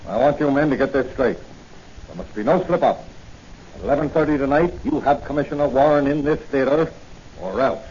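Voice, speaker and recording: A muffled, faintly noisy old radio crime drama. An actor plays a commanding gang chief with a medium-pitched male voice and a curt, menacing delivery. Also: fairly quick, a little mellow, lightly acted. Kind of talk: 1940s radio acting.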